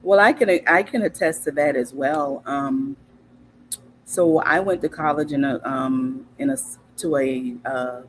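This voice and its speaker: A woman's voice: extremely low pitch, a little slow, slight background noise, poor audio. A woman from South Carolina, a podcast guest telling stories in casual conversation, thoughtful and often stopping to restart with ums. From Hilton Head, South Carolina.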